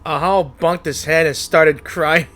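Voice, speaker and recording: A man's voice: on the high side, steady tone, measured pace, background noise. A man giving casual, playful and teasing commentary as he streams a game playthrough. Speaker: gaming streamer.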